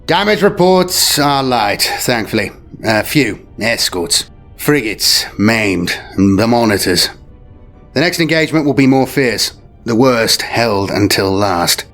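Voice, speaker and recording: A man playing a commanding, animated space admiral. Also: medium pitch, pitched above the performer's own voice. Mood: grave, assured. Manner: declamatory, clipped military phrases with weighty pauses. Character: commanding, shrewd veteran space fleet admiral prince. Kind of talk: dramatic audio story character. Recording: music behind the voice. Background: music.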